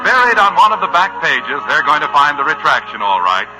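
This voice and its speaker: High, animated American radio announcer man. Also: fairly quick, music in the background, rough recording. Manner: polished, emphatic, punchy phrasing. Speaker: show announcer. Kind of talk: 1950s radio announcing and ad read. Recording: old radio recording.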